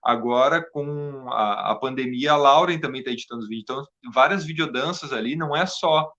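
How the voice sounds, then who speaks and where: A man talking casually as an interview guest, halting and repeating words as he goes. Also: medium pitch, bright, forceful tone, highs cut off. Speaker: interview guest, theatre artist and video editor.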